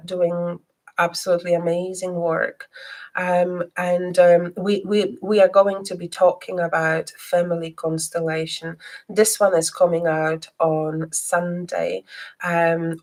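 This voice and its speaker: A woman who learned English as a second language talks slowly, and a faint Scottish twang colors her words. She hesitates constantly, with ums and restarts. When she leads a reading, her voice turns soft and guiding. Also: fairly low pitch, little pitch movement. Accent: non-native English with a slight Scottish twang. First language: not English. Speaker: psychic reader and live stream host. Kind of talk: live stream chat and guided reading.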